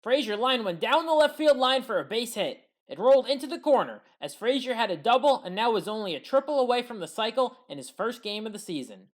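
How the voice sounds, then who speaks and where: Brisk female sports highlight narrator. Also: big pitch swings. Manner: brisk recap narration with fan opinions. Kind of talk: play-by-play highlight voice-over. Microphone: voice-over recorded separately.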